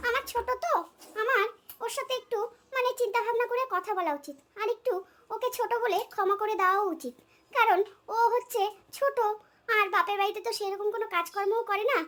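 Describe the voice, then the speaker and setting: A woman's voice: very high-pitched, a bit bright. A woman voicing an overworked elder daughter-in-law in a comic cartoon, grumbling and long-suffering but forgiving. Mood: softening, forgiving.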